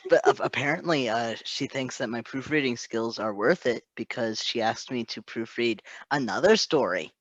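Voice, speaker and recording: A man chatting casually in a halting, self-deprecating, giggly way. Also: medium pitch, a bit bright, dry recording, dull audio. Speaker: co-host, fan fiction proofreader. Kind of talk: casual rambling chat.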